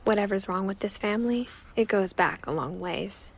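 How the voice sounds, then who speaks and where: A woman's voice: mid-range voice, normal speed, vocal fry, slight background noise, close to an everyday voice. A woman voicing a wistful young narrator. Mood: reflective. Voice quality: soft, reflective, often drops to a whisper. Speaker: game narrator. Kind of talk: scripted narration. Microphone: studio voice-over.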